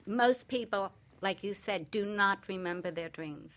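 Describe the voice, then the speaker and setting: A woman's voice: medium pitch, unhurried, a bit bright. A woman speaking as an expert guest in casual show conversation, plain-spoken and reassuring.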